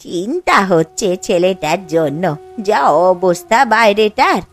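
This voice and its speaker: A woman's voice: medium pitch, sing-song, measured pace. A woman voicing a worried old village mother in a cartoon, speaking in an anxious, mournful way.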